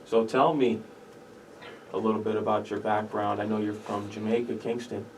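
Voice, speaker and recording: A man's voice: on the low side, flat delivery, normal speed, darkish tone, a little hiss or hum. Young male college radio host, slightly distant. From Connecticut. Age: young adult. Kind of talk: interview hosting. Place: small university radio studio. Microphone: boom-arm studio microphone.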